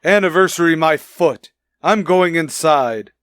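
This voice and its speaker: A grumpy middle-aged husband in an old radio comedy. He blusters with exasperation in a fairly high male voice. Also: measured pace, fairly bright tone, no echo, clean studio sound. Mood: indignant. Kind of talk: 1950s radio sitcom acting.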